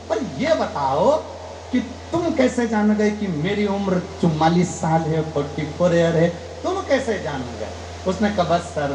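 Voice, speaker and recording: Man preaching a katha in an echoey hall. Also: noisy background. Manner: storytelling, acting out dialogue, rising emphatic pitch. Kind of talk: devotional katha sermon with anecdotes.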